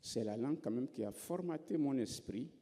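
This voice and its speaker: A man's voice: medium pitch, expressive, moderate pace, warm tone. A man from Togo, a writer on a festival panel, telling his story with wry, self-mocking humor. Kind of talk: reflective panel talk. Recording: reverberant festival hall.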